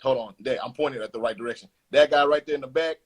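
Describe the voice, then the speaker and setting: A man's voice: mid-range voice, fast talker, breathy edge. Slangy laid-back young man hosting. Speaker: host. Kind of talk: casual interview.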